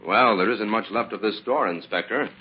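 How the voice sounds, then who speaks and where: An actor playing a steady, sharp-minded crime investigator in an old-time radio drama, with a husky voice. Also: male voice, fairly low pitch, normal speed, dull audio, slight background noise, natural voice. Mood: grave, thoughtful.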